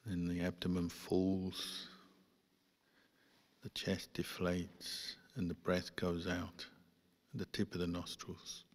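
A man, a Buddhist monk, guiding a meditation in calm, measured phrases with pauses. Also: deep voice. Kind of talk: guided meditation instruction. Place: large reverberant hall. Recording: long hall reverb.

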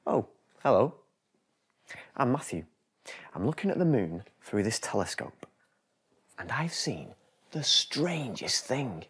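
A British man in his thirties reading a children's bedtime story in a gentle, deliberate, expressive storytelling style. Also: medium pitch, slightly rough. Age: 30s. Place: TV studio set.

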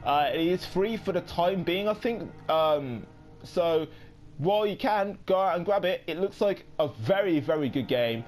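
A young man in his late teens giving casual let's play commentary, rambling with many pauses and quick apologies. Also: sits high, lively intonation, darkish tone, soft background music. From United Kingdom. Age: young adult. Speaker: gaming YouTuber.

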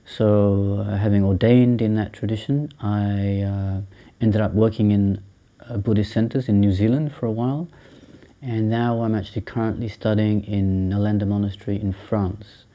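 A man in his thirties, from Sri Lanka and raised in England, explains his path as a monk in an interview. His voice sits low and very dark. He talks in a thoughtful, halting way, full of ums and restarts. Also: steady tone. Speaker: Buddhist monk and teacher. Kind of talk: interview answers. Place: small indoor room by a shrine.

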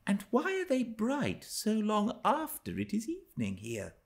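Man putting on an eager, high-voiced little girl. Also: huge pitch swings, a little slow, gravelly, heavily acted. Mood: curious. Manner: pleading, wondering. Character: eager, curious, spirited young girl.

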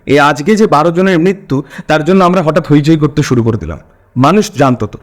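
A man's voice: on the high side, animated, a little fast. A man with a West Bengal Bengali accent, laying out background at length as an interviewer. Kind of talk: long, explanatory interview questioning.

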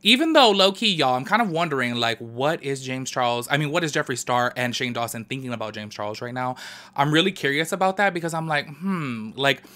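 Chatty man, raspy nasal allergy voice. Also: mid-range voice, brisk. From Southern California. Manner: chatty, animated, addresses viewers as y'all. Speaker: beauty commentary YouTuber. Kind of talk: casual commentary to camera. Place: home garage. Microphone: camera close up, studio framing.

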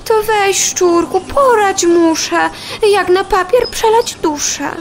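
Tiny female housefly for a children's audio play: squeaky high, slow, melodramatic and unsure of herself. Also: slight background noise. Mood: pleading. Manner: theatrical, hesitant, buzzing stammer. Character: dreamy, insecure, melodramatic little female housefly singer.

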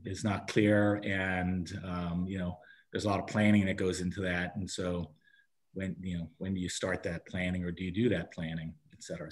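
Man, 60s, low register, halting committee speaker reporting informally over a video call. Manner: halting, often breaking off and rephrasing. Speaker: parks and recreation committee member reporting to a town council. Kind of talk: informal spoken report at an online meeting.

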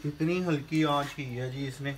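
A man's voice: mid-range voice, steady tone, moderate pace. A man in his thirties filming a casual home vlog on his phone, narrating cheerfully to viewers and exclaiming often. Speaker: vlogger. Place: home kitchen and rooftop, indoors and out. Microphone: phone camera held in hand. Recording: noisy phone recording.

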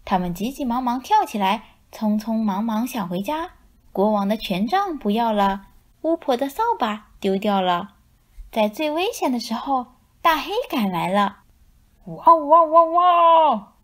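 一位女性用富有表现力的方式给孩子朗读绘本故事。. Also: female voice, a little high, lively intonation, moderate pace. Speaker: storyteller. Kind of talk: expressive children's picture book narration.

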